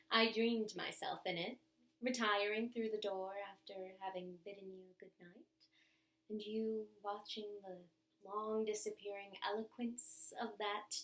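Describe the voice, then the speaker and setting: A woman giving an expressive, theatrical reading of old love letters, with deliberate pauses. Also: mid-range voice, echoey room, poor audio. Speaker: reader of bedtime stories.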